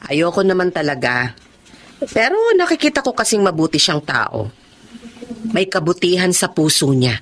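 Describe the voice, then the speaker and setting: A kind, patient mother in a radio drama, gentle with her words yet firm when she has to be. Her pitch moves around freely, and faint noise sits under the recording. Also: sing-song, moderate pace, pauses often. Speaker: mother in the family.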